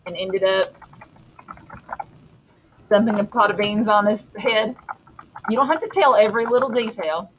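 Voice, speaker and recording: A woman's voice: mid-range voice, normal speed, long pauses. A middle-aged woman co-teacher talking through a lesson in an informal, explanatory, conversational manner. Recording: clear background noise.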